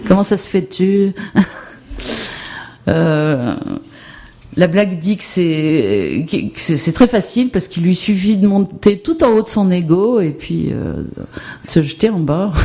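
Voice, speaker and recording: A woman's voice: lowish voice, mellow. A woman giving an academic presentation on education research, hesitant and full of fillers and restarts.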